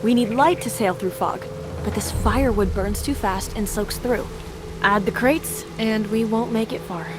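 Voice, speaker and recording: An actress playing a calm, resourceful young woman traveler who plans things out, in a video game cutscene. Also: mid-range voice, moderate pace, over music, lightly acted. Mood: pondering.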